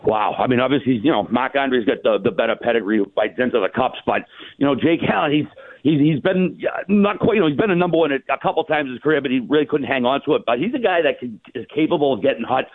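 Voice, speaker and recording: Male guest analyst, casual sports chat, rapid colloquial delivery, narrow dry phone sound. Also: mid-range voice, no echo. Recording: tinny phone-like line.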